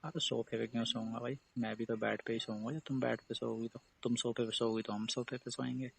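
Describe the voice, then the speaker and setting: A man's voice: medium pitch, flat delivery, fast, creaky, husky, poor audio. A young man in an intimate, casual phone chat, teasing and persistently asking questions. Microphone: phone call.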